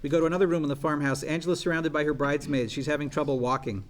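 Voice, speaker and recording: A man's voice: fairly high pitch, steady tone, racing, a little hiss or hum. A man reading script stage directions aloud at a live table read, sounding amused.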